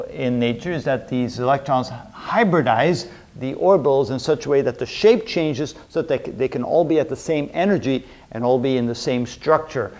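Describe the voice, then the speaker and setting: A middle-aged man lecturing at a whiteboard, explaining step by step and often repeating his phrases. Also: mid-range voice. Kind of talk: chalk-talk chemistry lecture at a whiteboard. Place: indoor room with a whiteboard.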